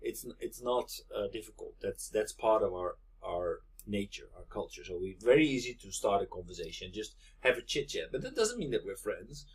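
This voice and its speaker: Husky-voiced man in conversation. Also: lowish voice, big pitch swings, normal speed, hardly any pauses. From Netherlands. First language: Dutch. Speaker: interview guest. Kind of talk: casual conversation.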